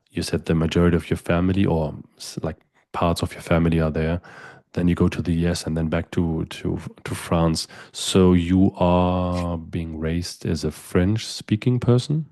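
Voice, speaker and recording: Middle aged podcast host guy, really deep monotone voice, dry close sound, long meandering questions. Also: dry recording. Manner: long winding questions with restarts. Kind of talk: interview questions.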